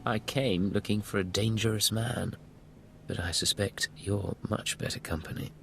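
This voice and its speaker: An actor playing a suave, self-assured military agent in a video game, smooth-talking and controlled. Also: male voice, on the low side, steady tone, normal speed, fairly bright tone, slight background noise. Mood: smooth, flirtatious. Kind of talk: video game dialogue acting.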